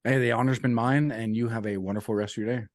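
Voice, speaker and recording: A man's voice: mid-range voice, steady tone, fast, no room sound, clean studio sound. A man talking as a coach guest in a casual interview, earnest, repeating his key sayings and dropping "man" and "you know" as he goes. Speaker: business and life coach guest.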